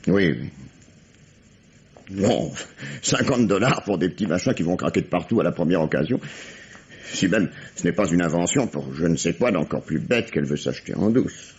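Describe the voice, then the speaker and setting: A harried, gruff police lieutenant in a 1950s radio drama. He is curt and sardonic, with a low voice that rises and falls sharply. The recording is dull and vintage. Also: lively intonation, moderate pace, a little mellow. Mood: grumbling. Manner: curt, sardonic, hesitant when flustered.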